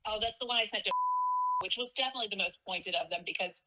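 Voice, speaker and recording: A woman's voice: medium pitch, fast, bright, forceful tone, poor audio. A woman reporter in an unscripted phone conversation, speaking in a hesitant, defensive way. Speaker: newspaper reporter.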